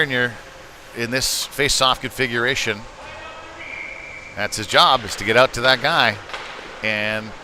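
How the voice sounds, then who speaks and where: A man doing live play-by-play hockey commentary in a folksy, conversational way. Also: medium pitch. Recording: noisy rink with clear background noise.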